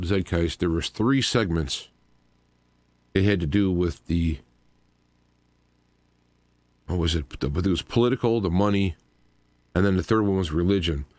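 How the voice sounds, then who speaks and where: A man's voice: low voice, darkish tone, dry recording. A man hosting a live radio show in an informal, rambling monologue, explaining things as he goes with frequent asides.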